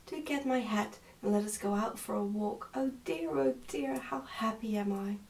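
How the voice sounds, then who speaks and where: A woman voicing an excited, carefree little princess from a storybook, chattering and exclaiming eagerly. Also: medium pitch, moderate pace, far from the mic, natural voice, rough recording. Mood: delighted.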